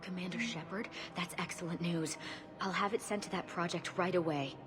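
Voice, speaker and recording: A woman's voice: fairly low pitch, fairly even intonation, normal speed, close to an everyday voice. A woman playing an earnest, eager research official in a video game, insistent and professional. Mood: pleased. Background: music.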